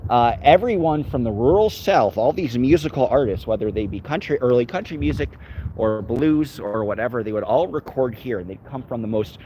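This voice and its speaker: A man rambles casually while walking his dog down city streets, talking to his live viewers and his dog as he goes. His voice sits at an average pitch, picked up on a handheld phone, with faint traffic noise in the background. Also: expressive, fairly quick, warm tone, low-quality recording. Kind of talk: casual live-stream chat.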